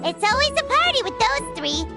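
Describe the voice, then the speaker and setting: Squeaky, chirpy tiny fairy. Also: female voice, very animated, normal speed, far from the mic, over loud music, full character voice. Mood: amused, flustered. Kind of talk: video game voice acting.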